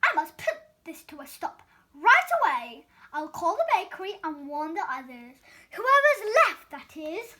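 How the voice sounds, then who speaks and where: A woman voicing an excitable, indignant young male talking doughnut from a children's storybook, in a dramatic, exclamatory manner. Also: very high voice, measured pace, full character voice. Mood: alarmed.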